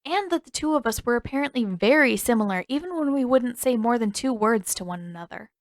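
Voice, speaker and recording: A woman's voice: fairly high pitch, animated, fairly quick, no room sound, voice pushed up. A woman voicing a perceptive teenage girl who talks in a candid, lilting, playful way. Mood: frank, gentle.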